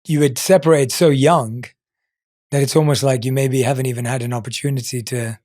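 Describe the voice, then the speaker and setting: A man's voice: mid-range voice, fairly even intonation, a little fast, a little mellow, dry recording. Affirming male podcast host, dry sound. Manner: empathetic, short affirming replies. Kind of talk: conversational interview questions.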